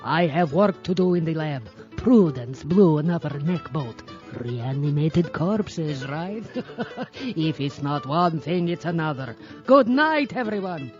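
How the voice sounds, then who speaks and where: A man's voice: a little high, lively intonation, slow, highs cut off, faint music behind. A man playing a pompous mad-scientist doctor who hosts horror movies, narrating in a sardonic, theatrical style. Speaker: horror show host and narrator. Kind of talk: campy mock-horror narration.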